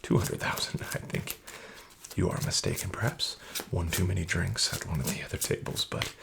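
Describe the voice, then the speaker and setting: A man in a soft-spoken roleplay as a smooth, flattering casino dealer, often whispering and slyly nudging the listener to bet more. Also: sits low, moderate pace, noisy background. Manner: whispery, with much unvoiced soft speech. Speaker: ASMR roleplay creator playing a casino dealer.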